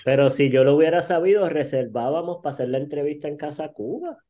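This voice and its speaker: A man with a Puerto Rican accent, a returning guest who explains his project in a measured way. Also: on the high side, expressive, warm tone. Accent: Puerto Rican Spanish. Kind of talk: casual conversation.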